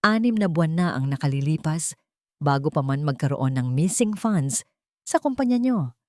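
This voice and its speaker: A woman's voice: deep voice, animated, moderate pace, darkish tone, no room sound, studio quality. A woman voicing a wronged wife in a dramatic story, cold, threatening and resolute as she accuses. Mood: defiant. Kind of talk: dramatic storybook dialogue reading.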